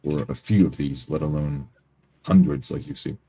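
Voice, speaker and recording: A man improvising first-person narration as a tabletop roleplay player, speaking in a halting, hesitant way. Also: rumbling low, fairly even intonation, mellow, husky.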